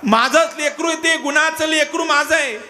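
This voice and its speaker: A man's voice: extremely high pitch, normal speed, bright, forceful tone, voice pushed up, exaggerated voice. A male preacher voicing a devout, grieving and proud father in a kirtan story, earnest and pleading. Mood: anguished, pleading. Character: saintly devout father, grieving yet proud of his son.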